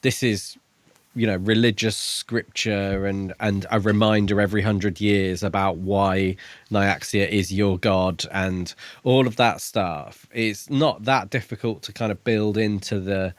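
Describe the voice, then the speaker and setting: A man's voice: fairly low pitch, steady tone, measured pace, no room sound. A man co-hosting a podcast, talking through a book casually in a reflective, conversational, slightly rambling way. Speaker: podcast co-host.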